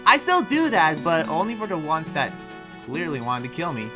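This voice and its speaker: A man's voice: a little high, wildly swooping pitch, moderate pace. Man playing earnest, troubled young-sounding slayer over music. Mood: worried, earnest. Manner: hesitant, rambling explanations. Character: earnest, troubled demon slayer researching friendly demons. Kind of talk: improvised video game roleplay.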